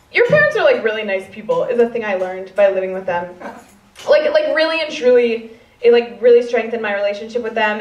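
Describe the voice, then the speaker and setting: A woman in her twenties with a Chicago accent doing a stand-up set, rambling and self-deprecating with many fillers. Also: on the high side. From Chicago, US Midwest. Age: 20s. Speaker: stand-up comedian. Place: stage in a hall. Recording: roomy, distant, dull-sounding live recording.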